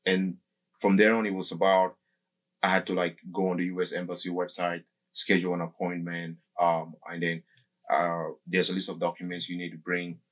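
Low, husky male podcast guest. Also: completely flat delivery, a bit bright. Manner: halting, often says "like" and circles back. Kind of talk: casual explanatory interview talk. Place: small indoor podcast studio. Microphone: desk microphone close up, with headphones.

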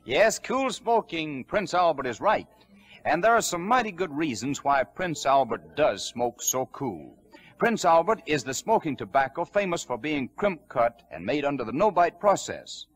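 A man's voice: a little high, very animated, moderate pace, breathy edge. A man announcer reading a sponsor's ad in an emphatic salesman's delivery on an old 1940s radio broadcast.

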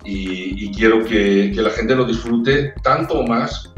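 A man's voice: fairly low pitch, slow. A man in his forties from Spain with a Castilian accent, a singer chatting as an interview guest on a video call, searching for words and repeating them as he talks. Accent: Spanish from Spain. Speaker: opera-trained ranchera singer, guest. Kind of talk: casual interview chat. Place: indoor room with brick wall. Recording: echoey room, far from the mic, faint music.

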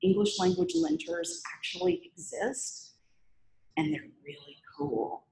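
A woman's voice: lowish voice, measured pace, a little hiss or hum. A woman giving a chatty, humorous talk to a meetup audience and taking questions. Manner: lively, chatty, self-deprecating humor. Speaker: conference speaker. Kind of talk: presentation talk with Q&A. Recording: echoey room.

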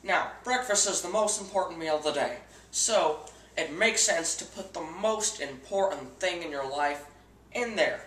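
Deadpan teen boy hosting a mock cooking show. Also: fairly high pitch, measured pace. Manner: earnest instructional tone with absurd steps. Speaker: host of a home cooking parody. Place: home kitchen, indoors. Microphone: camera mic across the kitchen. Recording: echoey kitchen, camera a bit far.

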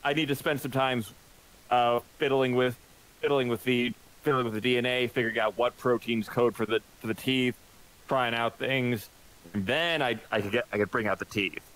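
Halting man describing his character. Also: medium pitch, fairly even intonation, a bit bright, poor audio. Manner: halting, many restarts and fillers. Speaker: player.

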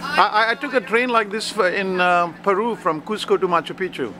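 A man's voice: sits high, brisk. Hesitant man filming a train ride. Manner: hesitant, many fillers. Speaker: vlogger. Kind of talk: casual narration to camera. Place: train coach. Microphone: handheld camera. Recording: camera audio with loud train noise.

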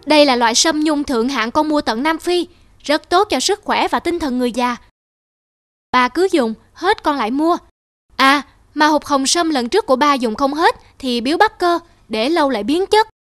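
A woman voicing a money-obsessed, dismissive young businessman, boastful and insistent, in an animated moral drama. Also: high-pitched, normal speed, dry recording, studio quality.

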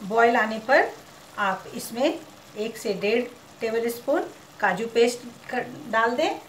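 A woman narrates her recipe step by step in a calm, instructive way, mixing English cooking terms into Hindi. Her voice sits slightly high. The recording carries a roomy kitchen echo and faint background noise. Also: slow. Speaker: cooking channel host.

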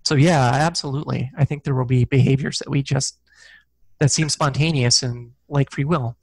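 A man's voice: medium pitch, fairly even intonation, moderate pace, gravelly, a little mellow, no room sound. A middle-aged man talking casually as an interview guest, thoughtful and self-deprecating, on a headset mic. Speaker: guest, physicist and science fiction author. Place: small indoor room, video call.

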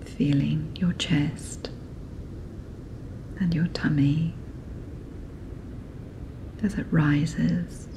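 A woman narrating a guided sleep meditation in a soft, breathy, whispery, soothing manner. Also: low-pitched, flat delivery, very slow, pauses often, background noise.

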